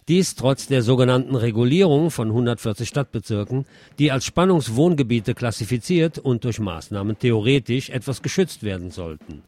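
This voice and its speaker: A man's voice: medium pitch, a little mellow. A man presenting radio news from a script, reading reports and adding wry asides.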